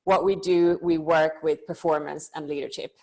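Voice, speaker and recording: Lady giving a talk, pretty low voice, earnest and steady, lots of ums. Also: moderate pace. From Finland. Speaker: company founder and conference speaker. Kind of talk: online conference presentation.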